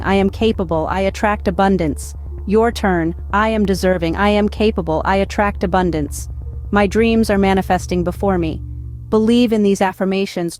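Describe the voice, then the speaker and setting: A woman narrating a scripted guided meditation in a measured, instructional way. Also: mid-range voice, a little mellow. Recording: background music behind voice. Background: music.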